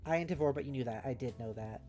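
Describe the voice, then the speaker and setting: A woman's voice: rumbling low, quick pace, mellow. A woman livestreaming casually, thinking aloud in loose fragments and chatting informally with her viewers in a playful way. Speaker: livestreamer host. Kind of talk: casual livestream brainstorming, reading chat aloud. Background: background music.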